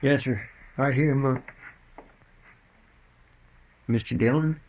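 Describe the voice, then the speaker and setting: A man plays a deferential Old West deputy with a mid-pitched American voice. His delivery is folksy and plain-spoken, the obliging sidekick of a classic radio western. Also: slow, a little mellow, a little hiss or hum, close to an everyday voice. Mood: tired, obliging. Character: loyal, deferential, folksy deputy to a frontier marshal.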